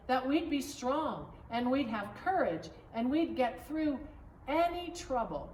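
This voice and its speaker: Female storybook narrator, higher-pitched and very expressive, deliberate phrasing, recorded in an echoey room. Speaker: pastor reading a storybook. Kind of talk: slow read-aloud storybook narration. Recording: echoey room, distant mic, faint noise.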